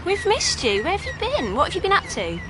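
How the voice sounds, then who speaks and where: A woman's voice: very high voice, wildly swooping pitch, a little fast, exaggerated voice. An actress playing a streetwise, loyal young woman in a naturalistic radio drama scene. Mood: bright, surprised. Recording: outdoor street ambience, clear background noise.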